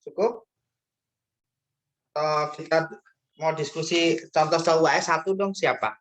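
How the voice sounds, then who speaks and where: A man's voice: on the high side, fairly even intonation, a little slow, fairly bright tone. A man lecturing in an informal, chatty way, often checking in with questions during an online class discussion.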